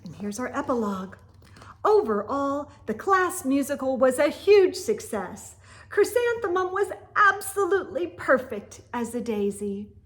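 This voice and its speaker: A woman reading aloud to children as a storytime host, with expressive, dramatic and deliberate phrasing. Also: high voice.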